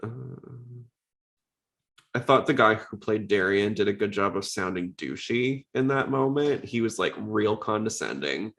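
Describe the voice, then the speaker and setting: A man with a fairly low-pitched voice hosts a casual podcast. He talks in an informal, conversational style, as in a relaxed discussion between fans. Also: unhurried, vocal fry. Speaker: podcast host. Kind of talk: casual podcast chat about anime.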